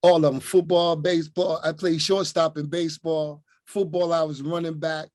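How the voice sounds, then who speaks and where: An older man from Newark reminisces in a relaxed, unhurried way and keeps checking in with "you know what I'm saying." His voice sits a little high for a man. The sound is dry and close, with no room around it. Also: no echo. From Newark, New Jersey. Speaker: retired coach, guest. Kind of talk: relaxed storytelling.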